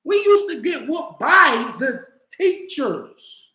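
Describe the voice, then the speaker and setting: Animated fortysomething man, bright echoey voice. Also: very high-pitched, takes it slowly. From Los Angeles, US. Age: 40s. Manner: loose, animated storytelling with slang and swearing. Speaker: livestream host. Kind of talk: casual livestream chat.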